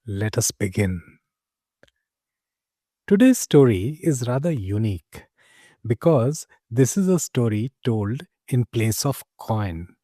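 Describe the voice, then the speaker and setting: A man acting as a mysterious tavern keeper spinning fantasy tales for a story podcast. His voice is warm and relaxed, with a slow, deliberate delivery. He is genial and confiding, dramatic in his phrasing, and the sound is close and dry. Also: mid-range voice, no room sound. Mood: mysterious, inviting. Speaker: narrator. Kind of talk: scripted first-person fantasy storytelling.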